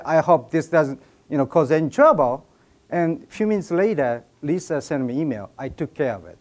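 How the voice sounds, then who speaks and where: A man with a Japanese accent gives a humorous talk to a live audience, getting people to join in. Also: a little high. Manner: jokey, drives audience participation. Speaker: speaker at an event. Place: event room with an audience.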